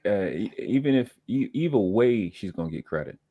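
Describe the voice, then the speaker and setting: A man's voice: fairly low pitch, lively intonation, normal speed, no room sound, phone-quality sound. A man in his thirties talking earnestly and reflectively as a panelist in a casual live-stream discussion. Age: 30s.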